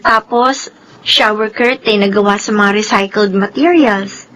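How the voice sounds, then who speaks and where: A woman's voice: mid-range voice, measured pace, fairly bright tone, slight background noise, lightly acted, low-quality recording. A woman playing a polite, earnest young student in a Filipino radio drama, speaking respectfully. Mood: apologetic. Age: young adult. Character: polite, grateful young student, worried for her brother.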